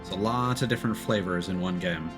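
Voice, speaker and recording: A man's voice: low-pitched, a little fast. A man streaming a video game, giving casual, off-the-cuff commentary. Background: synth game music.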